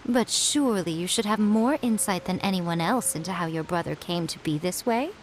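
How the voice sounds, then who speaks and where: A woman voicing a sly fox-spirit shrine priestess in a video game, with a silky, mocking, unhurried delivery. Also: fairly low pitch, slight background noise, lightly acted, low-quality recording. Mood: probing.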